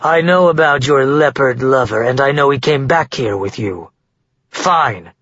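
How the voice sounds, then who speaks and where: A man's voice: medium pitch, lively intonation, measured pace, fairly bright tone, breathy edge, no echo, lo-fi sound. A man voicing a stern, powerful father who speaks coldly and growls, in an audiobook reading. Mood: cold anger. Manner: cold and emotionless, growling.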